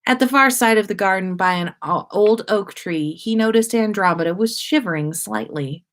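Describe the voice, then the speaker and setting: A woman hosting a story-time livestream, speaking in an expressive, informal way as she reads and chats. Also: medium pitch, moderate pace. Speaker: host of a fan fiction story-time livestream. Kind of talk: expressive story reading and casual livestream chat.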